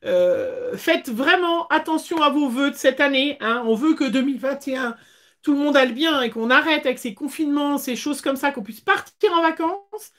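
A woman, chatting casually as the host of a craft livestream, with hesitant pauses. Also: high-pitched, expressive, fairly bright tone. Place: small indoor craft room.

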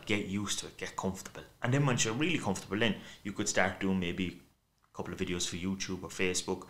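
Man, thick Cork accent, bright forceful tone, lower voice, chatty storyteller, desk mic in small studio. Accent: Cork Irish. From Ireland. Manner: chatty, animated storytelling with many restarts. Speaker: guest expert. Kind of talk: casual podcast chat. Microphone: desk mic on stand.

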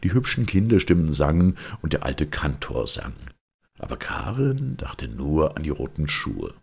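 A man with an extremely low voice reads a fairy tale aloud. His tone is rough and husky, crackling with fry, and he tells the story with dramatic emphasis. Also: very animated, measured pace. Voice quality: gravelly, husky. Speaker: audiobook narrator.

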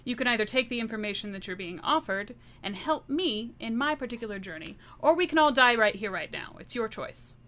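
A woman playing a determined, vengeful female bomb-maker in a tabletop game, speaking with cold, deliberate threat. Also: fairly high pitch, lively intonation, fairly bright tone. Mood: threatening.

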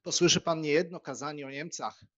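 A man acting a chatty, good-natured young country gentleman in an audiobook drama, with a casual, jovial manner. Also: on the high side, brisk. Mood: breezy, teasing. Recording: dull, lo-fi sound.

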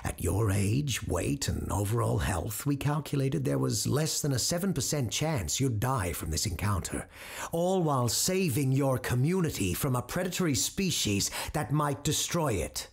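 A man's voice: mid-range voice, big pitch swings, moderate pace, gravelly, husky, heavily acted. A man playing a cold, self-assured radio doctor. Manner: clinical, self-assured, dismissive. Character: cold calculating male radio host doctor, supernatural expert. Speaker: radio host. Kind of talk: audio drama acting.

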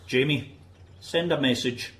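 An actor playing a stern, suspicious village constable in a radio drama, giving clipped commands. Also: male voice, mid-range voice, a little slow, fairly bright tone, natural voice. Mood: stern, commanding. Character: stern, suspicious male village constable guarding his community.

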